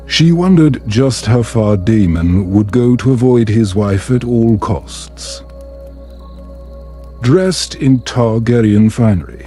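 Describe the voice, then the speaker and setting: A man reading a scripted bedtime story in a calming, soothing, measured way. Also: medium pitch. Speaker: storyteller, narrator.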